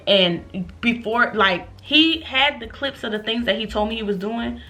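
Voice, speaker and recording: A woman in her late twenties telling a storytime to her viewers in an animated, chatty way, calling them y'all. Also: a little high. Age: 20s. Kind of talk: casual storytime vlog.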